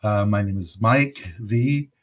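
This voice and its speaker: A man's voice: on the low side, takes it slowly. A man hosting a casual podcast, recovering from pneumonia, rambling and joking with plenty of fillers. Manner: rambling, jokey, many fillers. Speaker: podcast host.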